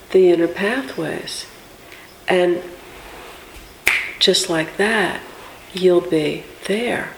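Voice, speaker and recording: A woman with a big voice giving an informal, reflective spiritual teaching in drawn-out, unscripted phrases with pauses. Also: lowish voice. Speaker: meditation and chanting teacher. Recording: echoey room with faint background noise.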